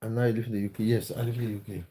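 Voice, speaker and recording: A man from Cameroon talks casually to his livestream audience, reading out their comments and replying. His voice is mellow and dark. He hesitates often and repeats himself as he thinks. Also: fairly low pitch, level tone, very fast. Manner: reads out viewer comments and answers them, with many fillers and repeats. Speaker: livestream host answering chat. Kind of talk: casual livestream chat about football.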